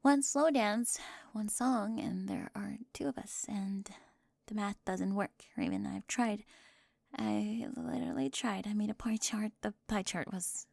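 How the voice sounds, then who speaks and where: A woman playing a bossy, high-strung, organised teenage girl in an ASMR roleplay, fussy and emphatic, often dropping into breathy whispers. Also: a little slow, a little mellow, no echo, clean studio sound. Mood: brisk, flustered. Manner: fussy, emphatic, flustered, clipped.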